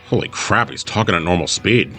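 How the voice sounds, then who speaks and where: A small fox with a big deep voice. He is a gruff, skeptical legal sidekick in an audio story, blunt and quick, with a bright, hard edge. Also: lively intonation, soft background music.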